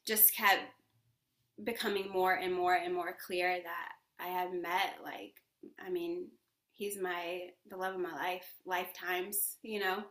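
Bright, effusive woman in a roomy space. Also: fairly low pitch, flat delivery, measured pace. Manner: effusive, laughs often, spiritual talk. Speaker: yoga teacher and podcast guest. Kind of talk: casual interview chat. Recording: roomy echo.

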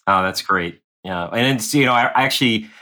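Lower-voiced conversational man host. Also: fairly quick, pushed and bright. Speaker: podcast co-host, sales leader. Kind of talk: podcast hosting.

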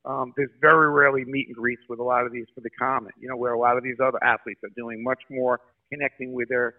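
Guest: brisk New man, quick talker, tinny phone-line sound. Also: mid-range voice. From Brooklyn, New York. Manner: brisk, animated storyteller. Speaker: sports marketing guest. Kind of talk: podcast interview.

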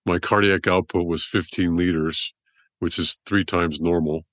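A middle-aged man, a surgeon guest with a deep voice, rambling through his story in a casual interview. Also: fairly even intonation, unhurried, a bit bright, dry recording, studio quality. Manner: rambling, restarts often.

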